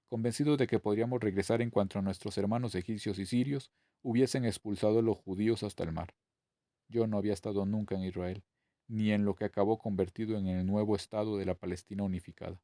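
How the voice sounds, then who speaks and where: A man's voice: fairly low pitch, steady tone, quick pace, warm tone, dry recording, clean studio sound. A man reading a novel aloud as scripted narration. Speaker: audiobook reader.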